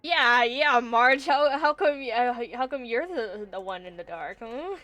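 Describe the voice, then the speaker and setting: A woman's voice: on the high side, expressive, measured pace, bright, forceful tone, dry recording. A woman live streamer giving casual, reactive commentary on a game, playful and muttering to herself.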